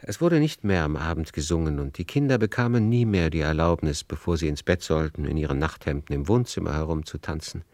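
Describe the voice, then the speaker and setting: A man with a deep voice narrates a radio play as a literary storyteller. His delivery is measured and lyrical, recorded close and dry with no room sound. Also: darkish tone, dry recording.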